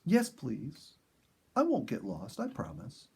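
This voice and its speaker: Male narrator as gentle young girl, storybook character, curious, sincere, soft delivery, lightly husky. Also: medium pitch, huge pitch swings, slow pace, full character voice. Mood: pleading.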